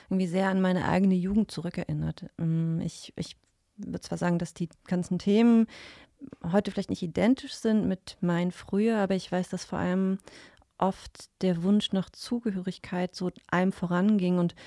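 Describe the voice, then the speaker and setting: Woman podcast host, gravelly low voice, dry close sound, chatty and reflective. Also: warm tone, no room sound. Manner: reflective, conversational. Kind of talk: podcast moderation.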